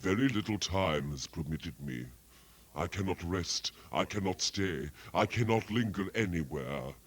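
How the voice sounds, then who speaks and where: Man to play a deep, slow, moaning ghost of a miser, sorrowful and chained. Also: animated. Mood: solemn, doleful. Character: ghost of a dead miserly businessman, mournful, chained. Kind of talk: dramatic reading of a classic tale.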